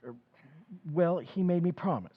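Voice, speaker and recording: Man playing a hesitant old husband, evasive, animated pitch, phone-like audio. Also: normal speed. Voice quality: hesitant, stammering. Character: flustered elderly small-town husband, evasive. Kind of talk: old-time radio drama dialogue.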